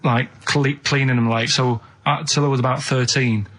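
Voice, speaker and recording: A man's voice: medium pitch, fairly even intonation, normal speed, a bit bright. A man, co-hosting a radio show and telling a casual, rambling anecdote in an earnest, plain-spoken way. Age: 30s. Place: radio studio.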